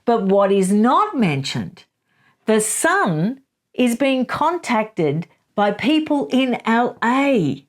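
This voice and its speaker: A woman's voice: a little high, big pitch swings, takes it slowly. A woman hosting her own commentary channel, making emphatic opinionated arguments and reading passages aloud. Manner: emphatic, rebutting, reads quotes aloud.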